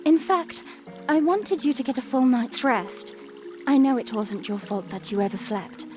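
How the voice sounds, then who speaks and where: A woman's voice: sits high, animated, normal speed, music in the background. A woman playing a playful young princess in an audio drama, sweet and gracious, with chirpy, polite and formal phrasing. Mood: sweet, tender. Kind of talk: audio drama character acting.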